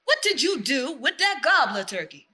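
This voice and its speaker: A high, piercing, exasperated Southern town boss, played by a woman. He scolds and demands answers in broad country stage dialect, with big swings in the voice. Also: sing-song, moderate pace, sharp tone, heavily acted. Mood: impatient, threatening. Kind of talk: theatrical dialect comedy.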